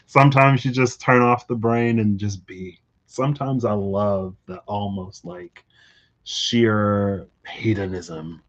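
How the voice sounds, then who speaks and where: A man thinking out loud in a rambling, reflective monologue for his tarot channel, full of fillers and restarts. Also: on the low side, highs cut off. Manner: musing, lots of fillers and restarts. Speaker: tarot reader vlogger.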